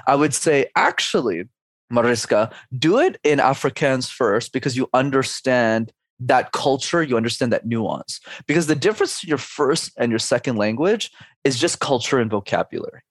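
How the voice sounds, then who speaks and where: A man in his twenties or thirties coaches with energy, laying out his advice in clear numbered steps. His voice sits at a middle pitch, and the sound is dry and close, with no room echo. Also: lively intonation, moderate pace. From Canada. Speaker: speech coach. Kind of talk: podcast interview conversation.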